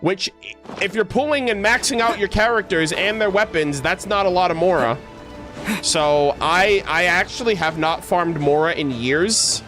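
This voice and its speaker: Higher-pitched man, rambling casual streamer. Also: normal speed, over music. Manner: rambling, self-correcting, slangy, animated asides. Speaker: game streamer. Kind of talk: casual livestream chat with viewers.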